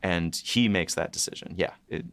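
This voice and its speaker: A man's voice: low voice, normal speed, creaky, fairly bright tone, slight background noise. A man co-hosting a podcast, chatting casually about writing in a hesitant, rambling way, often repeating words as he thinks. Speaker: writer and co-host of a behind-the-scenes podcast. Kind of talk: casual chat, reflecting on writing.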